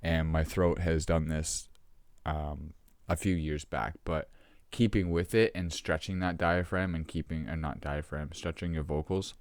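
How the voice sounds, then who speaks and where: Rough-throated man rambling to camera. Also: rumbling low, steady tone, no echo. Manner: rambling, halting, many ums and restarts. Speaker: vlogger. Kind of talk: casual talk to camera.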